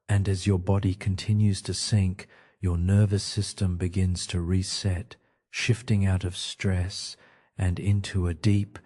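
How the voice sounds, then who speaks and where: Very deep, slow-spoken man guiding sleep meditation. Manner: slow, gentle, soothing delivery with pauses. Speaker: meditation guide. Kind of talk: guided sleep meditation narration.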